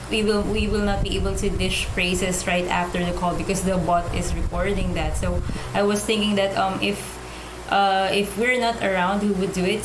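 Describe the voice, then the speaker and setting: A woman explaining her idea somewhat hesitantly in a casual online meeting. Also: medium pitch. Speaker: working group participant. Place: online group call. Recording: echoey room, noisy background.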